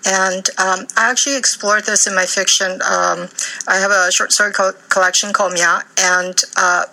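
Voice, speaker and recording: A woman from Taiwan, an author, answering questions in a studio radio interview at a measured pace, with many ums and uhs. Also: medium pitch, steady tone, creaky, bright, forceful tone, poor audio.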